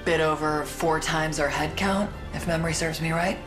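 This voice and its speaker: An actress playing a blunt army messenger in a fantasy game, speaking in a casual, matter-of-fact way. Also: female voice, deep voice, fairly even intonation, moderate pace, natural voice. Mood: matter-of-fact urgency. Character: blunt, unfussy female army messenger bringing reports. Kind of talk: dramatic fantasy game voice acting. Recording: loud orchestral music behind.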